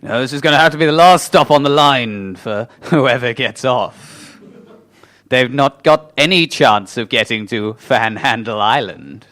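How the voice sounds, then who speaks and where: A man's voice: medium pitch, a little slow, a bit bright, lightly acted. A young man playing a brusque, scheming railway boss in an improvised comic radio play. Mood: smug. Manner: brusque, plotting.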